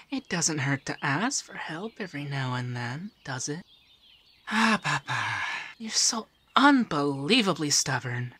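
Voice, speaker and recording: A man playing a doting, teasing feminine male monster companion in a softspoken, often whispered ASMR roleplay. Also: a little high, full character voice. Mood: fond scolding, then tender, coaxing. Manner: breathy, often dropping to a whisper.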